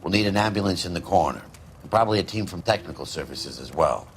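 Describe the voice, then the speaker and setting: An American actor playing a gruff, world-weary, sardonic middle-aged cop in a 1940s crime game, gravelly, blunt and slangy. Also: male voice, sits low, lively intonation, fast talker, slight background noise. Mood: flat, businesslike. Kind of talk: video game dialogue, crime drama.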